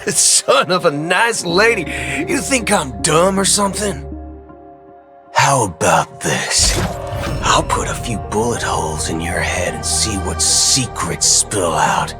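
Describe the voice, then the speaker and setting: Brash, wildly swooping hot-tempered gunslinger. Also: male voice, a little high, wildly swooping pitch, slow, long pauses, full character voice. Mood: mocking, threatening. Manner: brash, mocking, swearing in silly euphemisms. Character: hot-tempered, suspicious male gunslinger ranger. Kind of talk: theatrical video game voice acting. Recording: background music behind the voice.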